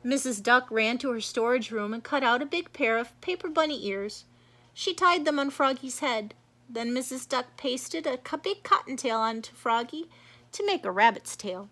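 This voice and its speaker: A woman's voice: a little high, lively intonation, moderate pace, pushed and bright. A woman narrating a children's storybook as a read-aloud. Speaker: storybook reader.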